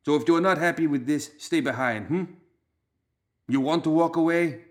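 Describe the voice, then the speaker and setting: A gruff Balkan-accented gang boss in an audiobook tale, acted out by a man. He growls in clipped, hard-edged phrases, commanding and distrustful. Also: on the high side, normal speed, voice pushed up, lightly acted. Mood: commanding, menacing. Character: gruff, suspicious, commanding male gang leader.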